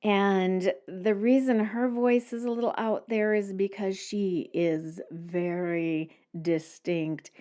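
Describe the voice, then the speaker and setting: A woman podcast host giving casual recommendations in a solo monologue, speaking in a conversational, measured way. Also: medium pitch, fairly even intonation, warm tone.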